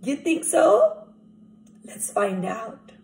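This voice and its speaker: Young lady teacher doing storytime, slow n creaky, animated, asks kids stuff, echoey room. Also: a little high. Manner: animated, questioning, addressing children directly. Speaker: teacher reading aloud to young children. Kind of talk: interactive picture-book read-aloud. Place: small indoor room at home.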